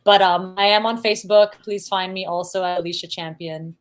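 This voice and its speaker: A woman from Singapore with a light Singaporean accent, chatting as a musical guest in an interview, playful, laughing often and pausing on "um". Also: mid-range voice, steady tone. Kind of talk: casual interview chat.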